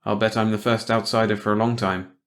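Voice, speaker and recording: A careful, guarded male stranger, read by a man in a story. He speaks in a lowish voice, measured and hesitant. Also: little pitch movement, fairly bright tone, close to an everyday voice.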